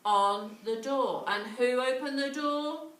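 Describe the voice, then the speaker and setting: A woman telling a children's story in an animated way, with repetition, dramatic pauses and questions to her listeners. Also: sits high. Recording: roomy, distant mic, clearly noisy.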